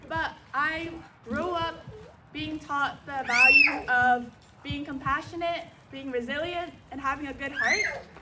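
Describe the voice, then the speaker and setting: A woman's voice: high-pitched, unhurried. A woman giving an earnest prepared speech to a crowd at an outdoor park gathering. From Seattle, USA. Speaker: event speaker. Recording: distant, noisy outdoor recording.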